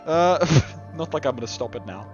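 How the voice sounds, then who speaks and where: Hoarse guy commentating a game, chatty and rambly, bouncy pitch, faint music, kinda muffled audio. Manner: chatty, rambling, lots of restarts and laughs. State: hoarse, losing his voice. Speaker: tournament commentator. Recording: dull lo-fi recording with faint music.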